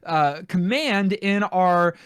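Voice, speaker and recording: A man's voice: high-pitched, slow pace, a bit bright, clean tone, no room sound, studio quality. A man hosting a podcast, talking casually and reading out listener comments. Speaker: podcast host.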